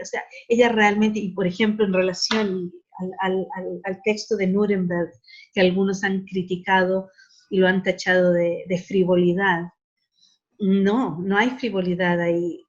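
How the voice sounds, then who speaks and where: Muffled recording of a reflective woman. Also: mid-range voice. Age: middle-aged. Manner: earnest, searching for words with repeated starts. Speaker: academic interviewee. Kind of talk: reflective interview talk.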